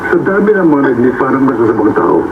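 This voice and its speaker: A man's voice: medium pitch, very animated, quick pace, heavy background noise. An actor playing a husband who firmly forbids his wife from searching for their son and insists on handling it himself, in a radio drama. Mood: insistent. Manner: insistent, reassuring. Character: firm, protective husband and father. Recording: tinny phone-like radio over loud music.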